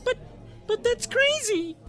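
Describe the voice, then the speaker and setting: A man voicing an alarmed, fretful crew member in a comic space radio play, in a shrill falsetto. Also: expressive, slow, a bit bright, faint music behind, full character voice. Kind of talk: comedic space-opera radio play acting.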